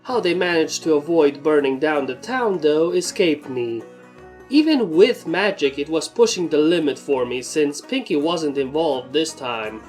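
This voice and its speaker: A woman's voice: low voice, animated, unhurried, darkish tone, soft background music. A woman narrating a scripted story in the first person. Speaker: fan fiction narrator.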